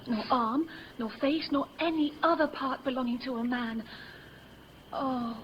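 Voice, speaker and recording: A woman's voice: a little high, close to an everyday voice. An actress playing a passionate, lovestruck young noblewoman, speaking verse in an emotive, theatrical 1950s radio style. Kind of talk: 1950s radio Shakespeare acting. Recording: dull, noisy old radio recording.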